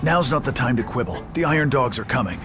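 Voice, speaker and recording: An actor playing a stoic, determined veteran fighter, the hero of a video game, speaking calmly and tersely. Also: male voice, mid-range voice, fast talker, natural voice. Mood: brisk, cool. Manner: calm, resolute, terse. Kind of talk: English video game cutscene acting. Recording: loud music over the voice.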